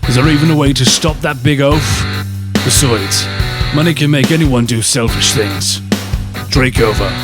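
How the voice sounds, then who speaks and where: A man's voice: mid-range voice, normal speed, close to an everyday voice. Sardonic man acting a cautious guest over guitar. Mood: wary, sardonic. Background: loud guitar music.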